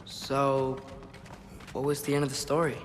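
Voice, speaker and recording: A young man playing a soft-hearted, grateful teenage warrior in a video game, speaking sincerely and haltingly. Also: medium pitch, completely flat delivery, slight background noise, natural voice. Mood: hesitant. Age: young adult. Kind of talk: video game cutscene acting.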